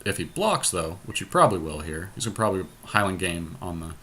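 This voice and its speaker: A man's voice: low voice, very animated, fast, sharp tone, breathy edge. A man chatting casually as a podcast host, thinking aloud through an online card game with his co-host.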